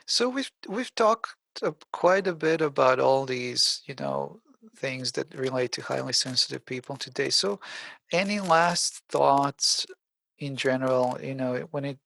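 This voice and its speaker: A man hosting a casual conversational interview, supportive and somewhat halting, often repeating words as he goes. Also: mid-range voice, sing-song, creaky, fairly bright tone, no room sound. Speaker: podcast host.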